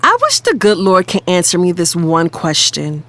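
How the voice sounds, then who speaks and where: A woman's voice: lowish voice, wildly swooping pitch, moderate pace, fairly bright tone. A woman playing a torn, sassy lover in an audio drama, yearning for more from her secret affair. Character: torn, sassy cheating lover wanting commitment.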